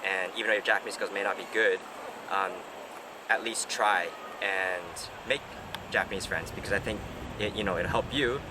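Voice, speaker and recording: Young man, low voice, noisy street. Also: steady tone, moderate pace. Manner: hesitant, many fillers and restarts. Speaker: host. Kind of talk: casual interview chat.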